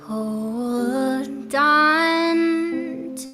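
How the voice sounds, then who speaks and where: High-voiced woman singing slowly over loud music. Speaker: singer, improvising musician. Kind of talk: improvised singing. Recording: loud instrumental music around the voice. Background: loud live music.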